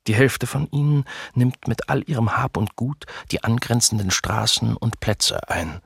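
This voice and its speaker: A man playing a grave chronicler of disaster in a documentary radio play, with a husky, gravelly, deliberate delivery. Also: medium pitch, animated, dry recording, studio quality, full character voice. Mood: grave, hushed. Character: grave male chronicler witnessing a burning, ruined city.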